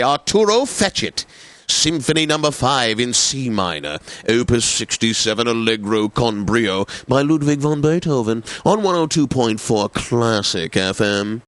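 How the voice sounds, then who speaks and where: Pompous classical radio DJ, dry studio sound. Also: male voice, mid-range voice, fairly bright tone, no echo. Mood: haughty, formal. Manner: pompous, theatrical, deliberate enunciation. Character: pompous, snobbish, condescending classical radio host. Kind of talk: scripted radio announcing.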